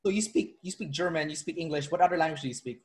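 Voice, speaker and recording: Guy from the philippines hosting a chat, kinda higher voice, rambly, restarts a lot. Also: quick pace. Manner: rambling, filler-heavy, many restarts. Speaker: interviewer, personality trainer. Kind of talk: casual video-call interview.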